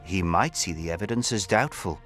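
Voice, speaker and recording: Animated, scheming nobleman. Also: deep voice, soft background music. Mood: feigned concern. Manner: formal, measured, insinuating. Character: smooth, scheming aristocratic count, suavely insincere statesman. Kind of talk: video game voice acting.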